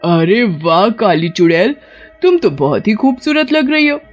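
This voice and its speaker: A woman voicing a sly, hungry female witch in an animated story, gloating and taunting. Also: mid-range voice, measured pace, lo-fi sound, soft background music. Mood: gleeful. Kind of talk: animated Hindi moral story.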